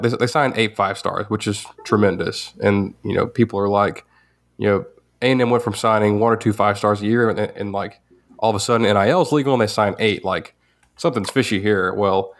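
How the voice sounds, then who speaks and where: A man, co-hosting a sports podcast, rambling with many restarts. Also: on the low side. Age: 20s. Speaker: podcast co-host. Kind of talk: casual sports chat.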